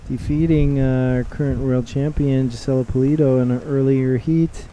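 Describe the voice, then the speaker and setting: A man doing live sports commentary, chatting and joking with his co-host in a relaxed way. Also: medium pitch, moderate pace, lo-fi sound, slight background noise.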